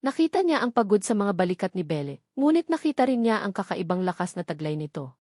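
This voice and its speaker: A woman's voice: mid-range voice, sing-song, fast talker, darkish tone, no echo, studio quality. A woman reading a romance story as dramatic scripted narration.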